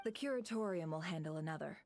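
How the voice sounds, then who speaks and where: Low-toned, composed strategist. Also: female voice, expressive, fast talker, darkish tone, a little hiss or hum. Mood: measured. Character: composed, shrewd woman strategist in a fantasy game. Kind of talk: video game dialogue.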